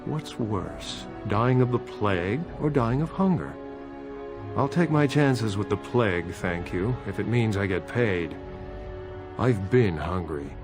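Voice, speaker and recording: A man's voice: on the low side, lively intonation, slow pace, over music. An actor playing a weary, self-serving poor man in a video game, with a drawling, grudging manner.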